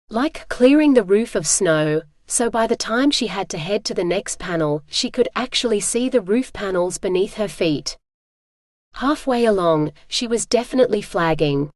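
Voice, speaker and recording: A woman narrating a romance audiobook in a steady, even reading voice on a close mic. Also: medium pitch, no echo. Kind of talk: scripted narration.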